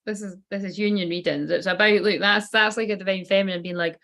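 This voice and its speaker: A woman's voice: mid-range voice, steady tone, quick pace, a bit bright. A woman giving a casual tarot card reading. She rambles loosely and swears offhandedly.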